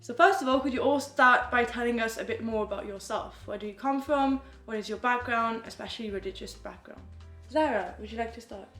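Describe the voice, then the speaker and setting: Woman from france, podcast host, fairly high voice, roomy echo, faint music behind. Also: brisk. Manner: reads a bright scripted welcome, then asks questions with many "like"s. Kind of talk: scripted intro then casual chat.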